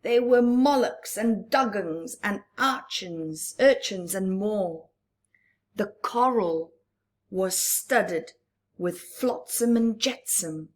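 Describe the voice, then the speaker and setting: A woman reading a rhyming picture book aloud at story time, stopping to chat with young listeners and dropping into whispers. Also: mid-range voice. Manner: slow rhyming read-aloud with chatty asides to young listeners; drops to breathy whispers. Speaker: storybook reader.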